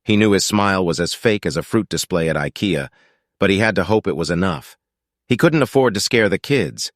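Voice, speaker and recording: A man's voice: sits low, expressive, fairly quick, no room sound, clean studio sound. A man reading scripted fiction as an audiobook narrator.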